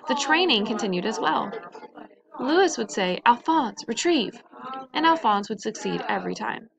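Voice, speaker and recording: A woman's voice: fairly high pitch, animated, normal speed, vocal fry, a crowd in the background, poor audio. A woman reading a children's story aloud in a narrating style. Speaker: storybook reader.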